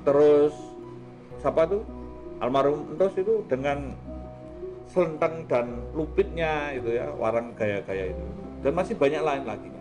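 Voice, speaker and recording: A man's voice: on the high side, animated, unhurried, music in the background. A man with a Javanese accent hosting a casual, rambling art talk, chatty and colloquial, often tagging his remarks with small questions. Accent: Javanese-accented Indonesian. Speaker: host of an art talk show.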